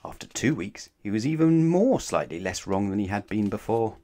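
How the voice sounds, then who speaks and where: A man reading a children's storybook aloud expressively, with dramatic, deliberate emphasis. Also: lowish voice, very animated, mellow. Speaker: storybook narrator.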